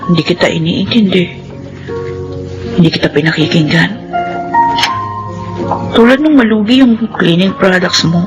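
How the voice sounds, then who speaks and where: Actress as tender remorseful wife over music. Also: female voice, on the low side, normal speed, pauses often, highs cut off, natural voice. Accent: Filipino. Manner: earnest, tender confession. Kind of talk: Filipino radio drama acting.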